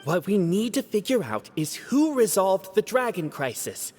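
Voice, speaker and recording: A man's voice: high-pitched, very animated, normal speed, a little mellow, big-room sound. A man voicing a formal, indignant clan historian creature in a video game, with theatrical, declaiming delivery. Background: background music.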